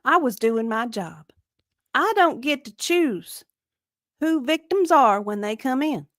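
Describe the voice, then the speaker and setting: Casting: woman performing indignant female deposition witness, evasive, insistent, lively swooping intonation, close dry sound. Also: high voice, takes it slowly, dry recording. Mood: defensive. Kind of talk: dramatic reading of a deposition transcript.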